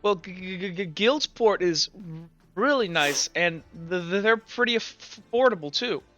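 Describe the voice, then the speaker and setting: A man's voice: high voice, animated, unhurried, a bit bright, faint music behind, voice pushed up. A man doing a timid stuttering young guy. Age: young adult. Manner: stammers on the first sounds of words. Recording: dull lo-fi mic.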